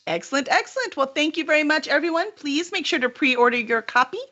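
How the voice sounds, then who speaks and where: A woman's voice: high-pitched, expressive, fast talker, bright, forceful tone. A woman hosting a casual book livestream as the publisher, talking in an enthusiastic, gushing manner. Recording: slightly dull online stream audio.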